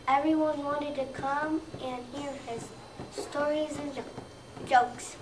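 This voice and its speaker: Little kid reading out loud for readers theater, slow and careful, echoey distant noisy recording. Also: sits high, steady tone. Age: child, about seven or eight. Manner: careful, halting read-aloud delivery. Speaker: second-grade student reader. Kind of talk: readers theater, reading a scripted narration aloud. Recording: roomy, distant mic, noisy background.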